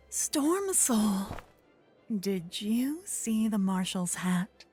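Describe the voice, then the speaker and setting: Woman voicing a playful royal tailor, fantasy ASMR roleplay, breathy, unhurried, close-miked, gossipy, elegantly teasing, faint music behind. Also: medium pitch, big pitch swings, darkish tone. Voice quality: breathy, often dropping into whispers. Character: flirtatious, witty royal tailor at a fantasy ball. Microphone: close binaural microphone.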